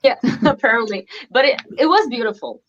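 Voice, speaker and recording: A woman's voice: a little high, expressive, brisk, lo-fi sound, slight background noise. A woman whose first languages are French and Moroccan Arabic, chatting as a guest singer in a casual live video interview, laughing often and using many fillers. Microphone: video call.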